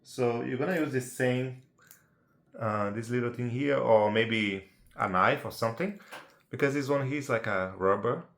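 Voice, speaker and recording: A man's voice: mid-range voice, normal speed, creaky, a bit bright. A Brazilian man with a Portuguese accent explains things in English, his second language, in an easygoing, chatty way, often tossing "right?" or "yeah?" to the viewer. His voice sits in a middling range. The recording has an echoey, roomy sound. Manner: chatty, checks in with tag questions like "right?" and "yeah?". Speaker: amateur how-to presenter. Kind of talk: casual unedited tutorial.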